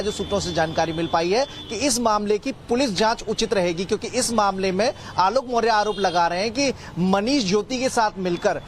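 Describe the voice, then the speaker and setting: High-voiced male correspondent, faint noise. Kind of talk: live news report.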